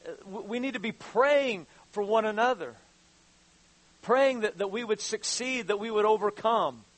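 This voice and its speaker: A pastor in his fifties preaches with a high voice, doubling back over his words before rising into urgent calls to his listeners. The sound is dry and close, with almost no room around it. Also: a bit bright, dry recording, poor audio. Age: 50s. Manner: halting, repeats words, rises to fervent exhortation. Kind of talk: sermon.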